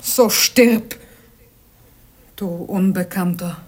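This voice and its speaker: An actress playing a scheming, urgent princess in a classical radio play, dramatic and often whispering. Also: female voice, mid-range voice, very slow, darkish tone, slightly rough. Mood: intense.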